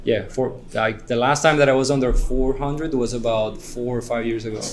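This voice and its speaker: A man, a spine neurosurgeon answering questions in an interview at a conference, speaking in a relaxed, fluent way. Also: mid-range voice, normal speed. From Venezuela. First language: Spanish.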